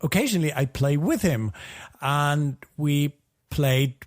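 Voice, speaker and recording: A man's voice: fairly high pitch, expressive, measured pace. A man co-hosting a podcast in casual chat, with an easygoing, somewhat hesitant way of talking. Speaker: podcast co-host.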